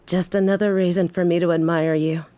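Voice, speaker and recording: Woman playing a courteous, lowish-voiced female gangster. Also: fairly even intonation, fairly quick, dark tone, dry recording, studio quality, natural voice. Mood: admiring, courteous. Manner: courteous, formal, eager to please. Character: loyal, deferential female gangster, confident and devoted. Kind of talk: scripted audio roleplay acting.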